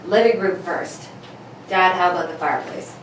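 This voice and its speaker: A woman playing a thoughtful, questioning male detective in an old-time radio play, speaking deliberately. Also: fairly low pitch, lightly acted. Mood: probing, thoughtful. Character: bedridden young male amateur detective, thoughtful and probing. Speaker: amateur radio play performer. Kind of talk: 1940s radio play read for laughs. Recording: distant mic, very echoey room, faint noise.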